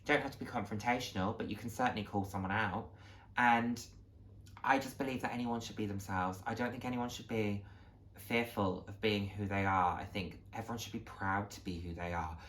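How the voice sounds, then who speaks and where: A man's voice: lowish voice, fairly even intonation, fairly quick, a bit bright, a little hiss or hum, rough recording. Male vlogger, late 20s; heartfelt, encouraging, informal delivery with a reflective streak. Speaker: vlog host. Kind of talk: casual heartfelt talk to viewers.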